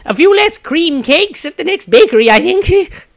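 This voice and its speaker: A man's voice: very high-pitched, big pitch swings, moderate pace, creaky, pitched above the performer's own voice, heavily acted. A man voicing a flustered, chatty, cake-loving wizard in a fantasy storybook reading. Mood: embarrassed, defensive. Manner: chatty, apologetic. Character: flustered, cake-obsessed, cheerful male wizard.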